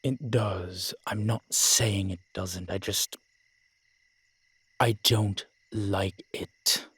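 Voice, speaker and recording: A man voicing a brooding, guarded, centuries-old vampire in an audio roleplay, speaking gravely and low. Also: steady tone, fairly bright tone, dry recording, heavily acted. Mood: reluctant. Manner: slow, grave, low-spoken.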